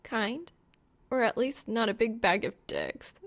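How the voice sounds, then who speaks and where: Young female gamer for fiction audiobook, done by a woman, raised pitch, sleepy, playful, casual lilt. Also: big pitch swings, unhurried, gravelly, exaggerated voice. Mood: wistful, simple.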